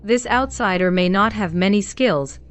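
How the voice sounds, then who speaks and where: A woman's voice: medium pitch, moderate pace, faint music behind, natural voice. An actress playing a composed, shrewd young woman who talks in a cool, matter-of-fact way with dry humor. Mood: calm wry. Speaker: lead in a short drama. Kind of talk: dubbed drama acting.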